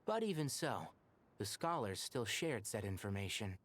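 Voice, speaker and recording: A man voicing a calm, thoughtful young scholar in a video game, speaking in a serious, explanatory way. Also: fairly low pitch, moderate pace. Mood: grave.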